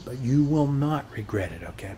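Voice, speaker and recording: A man's voice: mid-range voice, animated, normal speed, dark tone. Rambling American man, friendly neighbor, noisy background. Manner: folksy, rambling, frequently checks in with "okay?". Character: chatty, boastful suburban neighbor guy. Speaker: ASMR performer playing a friendly neighbor. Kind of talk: ASMR roleplay, casual rambling monologue.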